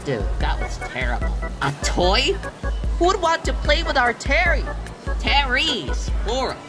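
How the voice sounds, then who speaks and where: Someone doing a bossy worried bird boss in a cartoon comic dub, emphatic, voice jumps all over, loud music under it. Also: sits high, very animated, a little slow. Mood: startled, dismayed. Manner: emphatic, exclamatory. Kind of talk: comic dub character acting.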